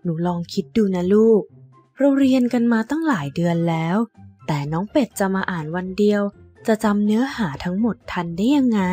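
A woman voicing a gentle, patient mother who explains things to her child in a children's cartoon story. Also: mid-range voice, slightly rough.

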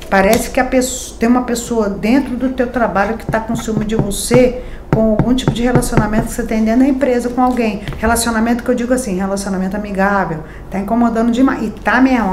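A woman's voice: fairly high pitch, steady tone, fast talker. A middle-aged Brazilian woman giving a daily horoscope card reading to camera, chatty and emphatic, talking straight to her viewers. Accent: Brazilian Portuguese. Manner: chatty and emphatic, addressing viewers directly with tag questions. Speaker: tarot reader. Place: small decorated home studio room. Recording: echoey room with faint ambient noise.

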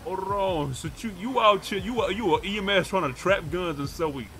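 A man's voice: high-pitched, fairly quick, fairly bright tone, slight background noise. A man roleplaying a pushy, streetwise, profane character in a game, talking in slang. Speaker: roleplay gamer streaming.

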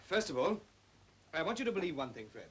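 An actor playing a smooth, manipulative upper-class English captain in his thirties in a 1940s British film drama. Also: a little high, big pitch swings, quick pace. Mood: persuasive. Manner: suave, persuasive. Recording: hissy old film soundtrack.

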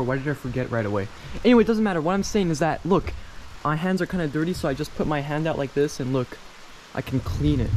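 A man's voice: mid-range voice, darkish tone, a little hiss or hum, low-quality recording. A young man chatting casually to camera as a travel vlogger, rambling and self-deprecating. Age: young adult. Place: outdoors at a rural mountain train station in rain.

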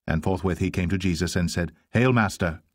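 A man narrating scripture as an audiobook in a solemn, measured and deliberate manner. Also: low-pitched, flat delivery, darkish tone, no echo, clean studio sound. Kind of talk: scripted Bible reading.

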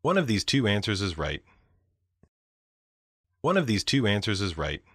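A man reads simple example sentences with careful, clear delivery. His voice is on the lower side and moves lively up and down. The recording is dry and close. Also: moderate pace, dry recording. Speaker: listening practice speaker. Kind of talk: slow read example sentences.

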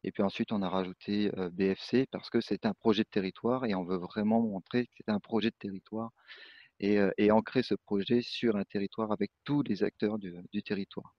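A young man presenting in a webinar from his office, halting and full of fillers and restarts. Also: lowish voice, steady tone, no echo. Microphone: video call. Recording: muffled video call audio.

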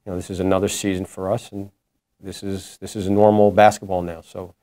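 A man in his forties, a head basketball coach, answering postgame questions in a halting way with many restarts. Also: low voice, flat delivery, warm tone, no room sound. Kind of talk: postgame press conference answers. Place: indoor press room before a logo backdrop. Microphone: lapel mic.

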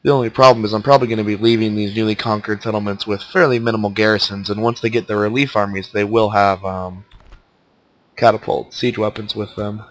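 A man with a stuffed-up, nasal voice giving relaxed, casual commentary while playing a strategy game. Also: lowish voice, a little fast, poor audio. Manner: laid-back, thinking aloud as he plays. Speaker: let's play commentator.

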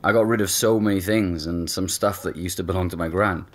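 An actor playing a deep, hesitant ex-flatmate. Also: male voice, a little fast, close to an everyday voice. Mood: reflective. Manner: hesitant, reflective. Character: reflective young man, missing woman's ex-flatmate. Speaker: former flatmate. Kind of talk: conversational audio drama acting.

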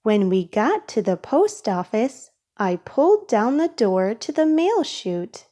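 A woman reading a children's storybook aloud in a careful, expressive way for young listeners. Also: a little high, slow pace. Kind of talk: read-aloud storybook narration.

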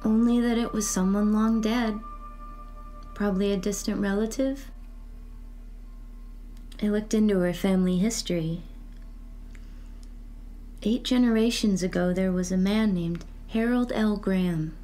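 An actress playing an eerie, secretive woman who believes in spirits, speaking in a measured, solemn, cryptic way in an audio drama. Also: medium pitch, fairly even intonation, dark tone, soft background music, natural voice. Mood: quietly certain.

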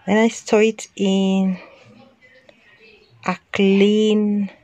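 A woman's voice: mid-range voice, little pitch movement, drawn-out words, darkish tone, dry recording. A woman hosting her home cooking channel, talking casually over the footage with frequent restarts and ums.

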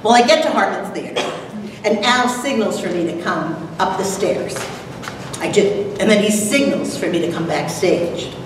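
A woman's voice: medium pitch, normal speed. Older woman storytelling in an echoey room. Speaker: event co-host and dramatic reader. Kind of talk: prepared storytelling to an audience. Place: lecture room, standing at a lectern. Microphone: far camera microphone. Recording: echoey room from far off, noisy.

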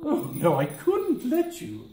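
A man's voice: very high-pitched, wildly swooping pitch, slow, crowd noise, heavily acted. An older man playing a grumbling, gullible cleaning woman in an amateur pantomime, with exaggerated complaining. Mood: coy, giddy. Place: village hall stage area, far camera. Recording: distant echoey village hall, background noise.

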